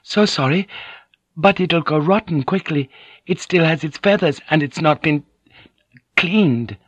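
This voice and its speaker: A man's voice: fairly high pitch, unhurried, a bit bright, no room sound, dull audio, pitched above the performer's own voice. A man voicing a polite, squeamish Japanese noblewoman who speaks courteously and hesitantly in an audiobook. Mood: flustered, appalled.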